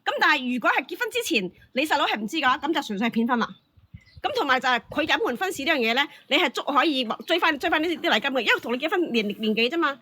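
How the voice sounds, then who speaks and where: A high-voiced woman lays down heated opinions on a call-in show. She is sharp and fast, scolding emphatically and circling back over her points. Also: animated. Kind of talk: heated advice and argument.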